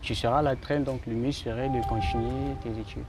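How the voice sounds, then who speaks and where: A man's voice: mid-range voice, steady tone, brisk. Congolese-born guy talking architecture, lots of ums, city traffic noise in the background. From Republic of the Congo. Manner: thoughtful, hesitant with many fillers. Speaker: architecture student. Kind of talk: interview answers. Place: outdoors in a city.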